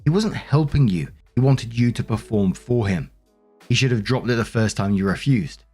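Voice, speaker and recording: A man's voice: medium pitch, lively intonation, moderate pace, mellow, husky, faint music behind. A man hosting and narrating online stories, mixing scripted reading with casual spoken commentary.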